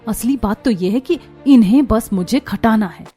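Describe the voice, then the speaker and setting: Woman as an exasperated high-voiced young daughter-in-law. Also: normal speed, dark tone, husky, faint music behind. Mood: weary complaint. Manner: complaining, indignant. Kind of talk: Hindi cartoon story dialogue.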